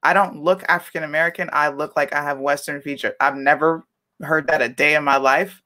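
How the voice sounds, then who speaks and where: A woman's voice: low voice, steady tone, brisk, pushed and bright. Emphatic woman co-host in casual chat. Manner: emphatic, conversational, leans on "listen" and "okay". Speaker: podcast co-host.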